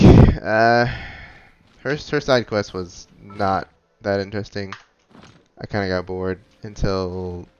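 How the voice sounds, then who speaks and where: A man's voice: low-pitched, clean tone, muffled recording. A man giving laid-back, rambling casual commentary over a video game. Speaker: gaming commentator.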